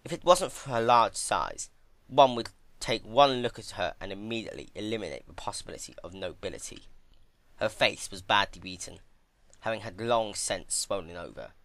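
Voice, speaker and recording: A man narrates a piece of dark fan fiction as a dramatic reading. His voice sits fairly low but has a forceful, bright edge, and the pitch moves in lively swings. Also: normal speed, vocal fry, no echo.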